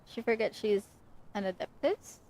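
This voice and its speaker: A woman's voice: mid-range voice, normal speed, a little mellow, slight background noise. A woman livestreaming a game, casually thinking aloud and giving brief reactions.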